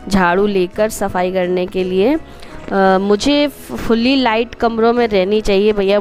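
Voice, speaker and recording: A woman vlogger with a Hindi accent from Madhya Pradesh, hoarse from a cold, narrating her daily routine in a chatty, homely way with rambling asides to viewers. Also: medium pitch, a little mellow.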